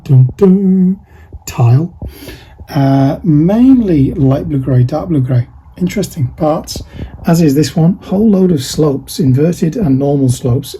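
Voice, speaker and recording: A man's voice: a little high, warm tone, slight background noise, low-quality recording. A man chatting casually while packing orders, rambling and thinking aloud with lots of fillers. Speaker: online parts seller. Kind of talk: casual behind-the-scenes vlog chat.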